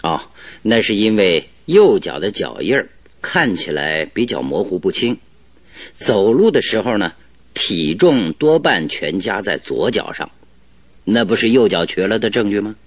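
An actor playing a confident, cheerful detective in a radio drama, explaining things in an animated, self-assured, didactic way. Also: male voice, lowish voice, unhurried, dry recording. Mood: assured deducing. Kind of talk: Chinese radio drama acting.

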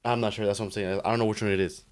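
A young man with a fairly low voice chatting as a podcast co-host. He banters loosely, laughs easily and states his opinions plainly. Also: level tone, rapid-fire. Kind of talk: casual chat.

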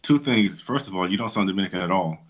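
A man hosts a relaxed podcast interview in a low voice. He is chatty and quick to tease and joke with his guest. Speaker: podcast host.

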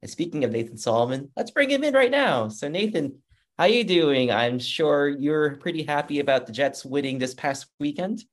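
A man hosting a motorsports podcast in a casual, upbeat, chatty way, with a loud voice. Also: on the high side, lively intonation, moderate pace. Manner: upbeat, chatty, reads sponsor plugs. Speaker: podcast host and motorsports journalist.

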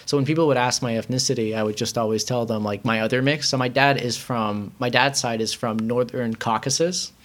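A man's voice: mid-range voice, steady tone, a little fast, few pauses. A young man from Iran chatting casually in a rambling way, with many fillers. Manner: rambling, heavy use of filler words. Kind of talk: casual chat.

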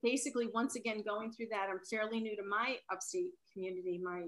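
A woman's voice: mid-range voice, steady tone, quick pace, fairly bright tone. A woman novelist whose first language is Italian, talking casually in an interview, often trailing off and rephrasing.

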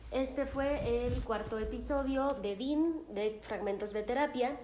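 A calm, reflective woman narrates a story from a therapy session for her podcast. Her voice is mellow and warm. The recording has a noticeable roomy echo. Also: fairly high pitch, a little slow, a little hiss or hum.

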